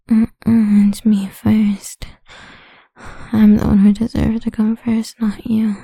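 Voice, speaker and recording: A woman playing a domineering, condescending lover in intimate adult audio roleplay, speaking in a breathy, whispery voice with a commanding manner. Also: fairly high pitch, level tone, dark tone, no echo, full character voice, poor audio. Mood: commanding, scornful. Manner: slow, commanding, alternately cooing and scornful.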